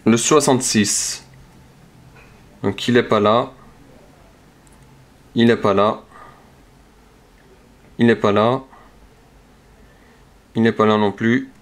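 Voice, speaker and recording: A man calmly talks through each number as he scratches lottery tickets, casual and methodical. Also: fairly low pitch, takes it slowly, long pauses. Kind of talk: casual narration while scratching cards. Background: scratching sounds.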